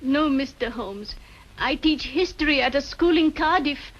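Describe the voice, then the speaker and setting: A woman's voice: high voice, expressive, normal speed. A woman playing a distraught, tearful schoolteacher in a 1940s radio mystery. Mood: tearful, shaken. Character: distraught, grieving schoolteacher, sister of a victim. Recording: dull, band-limited old radio recording.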